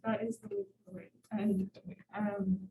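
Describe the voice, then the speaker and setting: A woman's voice: mid-range voice, flat delivery, a little slow, mellow, slight background noise. A woman in her twenties from Ethiopia, a student asking a hesitant question from the floor at a university event. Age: 20s. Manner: hesitant, many fillers and restarts. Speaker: student audience member. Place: university lecture room. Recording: roomy hall, far from the mic.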